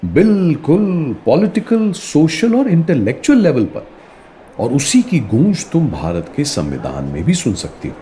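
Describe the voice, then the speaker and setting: Friendly indian prof in a radio drama, dark warm voice, really animated delivery. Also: male voice, fairly high pitch, a little slow, a little hiss or hum. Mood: earnest, teacherly. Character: friendly male professor explaining history at tea stall. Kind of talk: scripted radio play dialogue.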